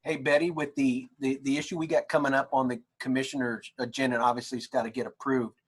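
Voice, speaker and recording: A man speaking informally as a county official on a conference call, halting and often repeating his words. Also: mid-range voice, little pitch movement, husky. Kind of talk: informal meeting question.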